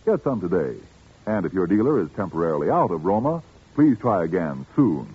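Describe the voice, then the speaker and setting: A man's voice: low-pitched, big pitch swings, brisk, slightly rough. An American man reading a 1940s radio commercial as the sponsor's announcer, emphatic and salesmanlike. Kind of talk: 1940s radio advertising read. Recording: dull 1940s radio broadcast recording.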